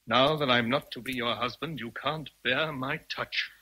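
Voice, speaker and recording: An actor playing a passionate, domineering English gentleman in a radio drama, intense, pleading and threatening. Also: mid-range voice, normal speed, husky, poor audio. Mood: desperate, threatening.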